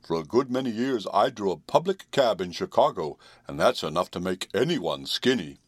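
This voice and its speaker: A man's voice: on the low side, expressive, normal speed, no room sound, clean studio sound. A man voicing a weary, scornful old male horse in a storybook reading, grumbling as he talks. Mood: despondent.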